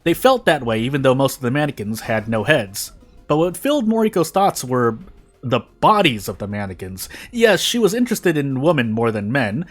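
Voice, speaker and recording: A man reading a story aloud in deliberate phrases with short pauses. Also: medium pitch, very animated. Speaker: fan fiction reader on a video channel. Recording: music behind the voice.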